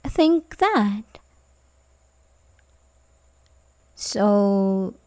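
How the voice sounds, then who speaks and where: A woman's voice: fairly high pitch, darkish tone. A woman playing an obsessive, manipulative young babysitter who feigns sympathy, in an intimate, hesitant ASMR roleplay style. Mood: sympathetic, coy. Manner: hesitant, stammering, nervous chuckles, sighs. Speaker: audio roleplay performer.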